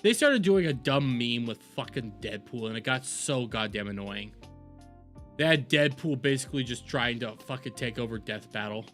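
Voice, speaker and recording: A man's voice: medium pitch, lively intonation, moderate pace, fairly bright tone, faint music behind. A man doing casual live-stream commentary, rambling, repeating himself and swearing freely. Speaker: streamer reacting to videos.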